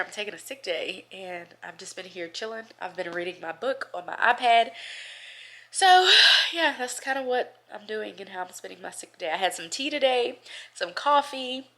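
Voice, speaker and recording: A woman's voice: lowish voice, a bit bright. A woman chatting casually at home, nasal and congested from a cold, rambling and laughing between thoughts. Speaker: vlogger. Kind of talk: casual chat to camera.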